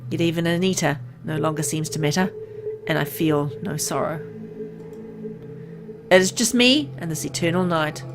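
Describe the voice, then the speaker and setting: A woman voicing a gravely ill man who is turning into a hungry vampire, reading the first-person game text aloud in her own plain voice, with game music underneath. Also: low-pitched, moderate pace, long pauses. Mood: resigned.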